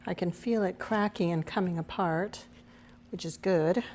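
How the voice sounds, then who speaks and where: A woman hosting her own cooking show, her voice a little raspy as she recovers from a cold, chatting through each step as she cooks. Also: lowish voice, unhurried, darkish tone, a little hiss or hum. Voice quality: slightly raspy. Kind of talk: casual cooking demonstration.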